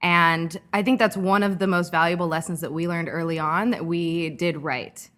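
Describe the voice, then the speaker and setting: A woman in her thirties from California, a startup founder speaking as a guest in a podcast interview, reflective and animated in her anecdotes, with frequent fillers. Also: fairly low pitch, normal speed. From California, Orange County. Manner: reflective, lively when telling anecdotes, frequent "um" and "like". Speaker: guest entrepreneur.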